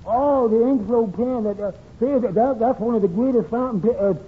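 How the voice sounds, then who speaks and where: An actor playing a meek, easily rattled barber who stammers heavily and abandons sentences, in old radio comedy style. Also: male voice, very high voice, a little fast, breathy edge. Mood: flustered. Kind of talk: 1940s radio comedy acting. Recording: scratchy, tinny old-time radio recording.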